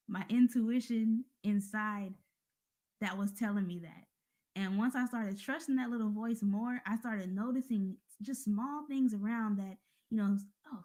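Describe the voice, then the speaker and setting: A woman's voice: medium pitch, darkish tone. A woman with an American accent giving an informal, motivational religious talk, speaking conversationally and straight to her listeners. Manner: conversational, addressing listeners directly.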